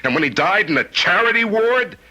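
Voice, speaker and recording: A man's voice: high voice, lively intonation, pushed and bright, heavily acted, low-quality recording. An actor playing a grief-stricken, driven rich man in an old-time radio drama, insistent and refusing to accept death. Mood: impassioned, accusing. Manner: insistent, halting then vehement.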